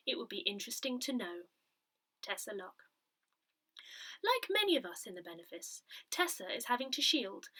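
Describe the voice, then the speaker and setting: A woman reading written articles aloud in a measured, clearly phrased way, as for an audio newsletter. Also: on the high side, pushed and bright. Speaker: newsletter reader.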